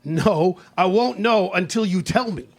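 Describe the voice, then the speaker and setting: An exasperated office-worker husband played by a man in an old-fashioned domestic radio play. His voice sits fairly high with some creak, and he scolds and questions with emphatic, nagging insistence. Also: measured pace, full character voice. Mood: exasperated, scolding. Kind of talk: live radio play acting.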